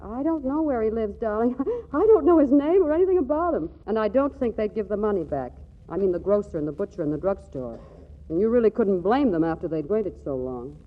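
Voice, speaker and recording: An actress playing a restless, exasperated but loving young wife in a 1940s radio comedy, with fluttery, stammering delivery. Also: female voice, medium pitch, expressive, brisk, natural voice. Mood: earnest, apologetic. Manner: flustered, fluttery, stammering repeats. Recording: dull 1940s radio broadcast recording.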